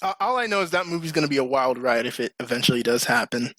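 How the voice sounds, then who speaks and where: A man's voice: medium pitch, lively intonation, quick pace, a bit bright, no echo. A man hosting a casual, slangy group chat as a podcast host. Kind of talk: casual group chat about games and movies.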